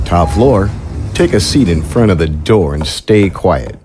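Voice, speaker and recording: A gruff enforcer in audio drama, deep voice, menacing and deadpan, faint music underneath. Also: male voice, a little slow. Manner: curt, deadpan. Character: gruff, contemptuous male henchman, curt and menacing.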